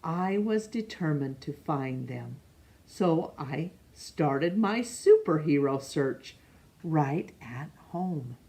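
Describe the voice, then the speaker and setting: A woman reading a picture book aloud to children, expressive and pausing to ask young listeners questions. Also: low voice. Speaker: storytime reader.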